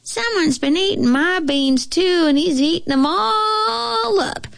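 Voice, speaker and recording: A woman voicing a little male bear cub in a storybook, with a tiny, squeaky, childish voice. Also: animated, slow, vocal fry, a bit bright, heavily acted. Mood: whiny, upset. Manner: childish, plaintive.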